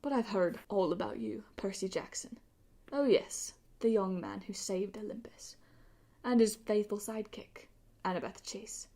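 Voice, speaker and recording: A woman voicing an arrogant, bitter golden-masked immortal pirate in an audiobook, with pompous, taunting delivery and breathy, drawn-out menace. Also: medium pitch, fairly bright tone. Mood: sly contempt.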